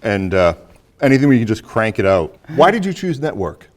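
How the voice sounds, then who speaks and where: A man's voice: on the low side, big pitch swings, a little fast. A man hosting a show, talking casually and conversationally about movies with a guest. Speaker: host of a movie discussion show.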